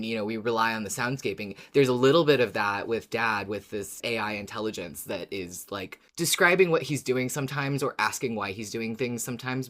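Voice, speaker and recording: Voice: mid-range voice, a bit bright. Podcast co-host, casual fan chat, informal wording, emphatic delivery.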